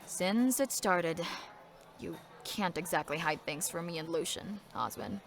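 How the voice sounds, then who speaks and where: A woman acting the part of a blunt, protective, sharp-tongued elder sister in an audio drama, with a scolding, forthright manner. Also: on the low side, expressive, unhurried, creaky, faint music behind. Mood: wry, firm.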